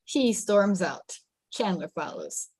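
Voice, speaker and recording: Woman, stage-direction reader, scripted narration, mid-pitched voice, dry close sound. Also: expressive, slow, darkish tone, slightly rough, no room sound.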